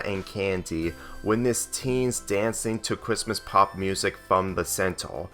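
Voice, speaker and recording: A man's voice: fairly low pitch, fairly bright tone. A man reading a scripted fan fiction story aloud as narrator, with occasional slips. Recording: music under the voice. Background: instrumental music.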